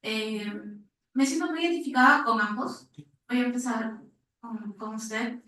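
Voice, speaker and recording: Echoey, distant Peruvian woman, higher-pitched. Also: unhurried, pushed and bright. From Peru. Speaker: audience member asking a question at a conference. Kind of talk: spontaneous anecdote and question to panelists. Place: reverberant indoor conference room. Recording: very echoey room, distant microphone.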